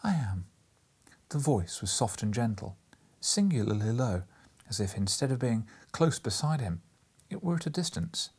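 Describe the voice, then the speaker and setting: A man reading an audiobook as dramatic literary narration, theatrical and sometimes hushed, with emphatic stresses. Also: mid-range voice, expressive, moderate pace, husky. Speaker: audiobook reader.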